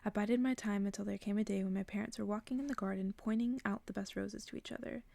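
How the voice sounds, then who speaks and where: Whispering, creaky-voiced woman reading a bedtime story. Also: mid-range voice, fairly even intonation, fast talker, no room sound. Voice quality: soft, breathy whisper. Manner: hushed, gentle narration. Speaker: ASMR creator reading stories aloud. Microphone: close-up microphone.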